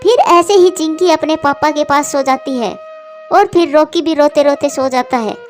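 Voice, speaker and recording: A woman's voice: high-pitched, normal speed, soft background music. A woman narrator reading a scripted children's cartoon story.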